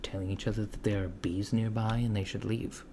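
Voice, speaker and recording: A man playing a curious, puzzled alien researcher in a soft-spoken ASMR roleplay, halting and matter-of-fact, often slipping into whispers. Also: fairly low pitch, little pitch movement, close to an everyday voice. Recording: clear background noise with keyboard typing. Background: computer keyboard typing.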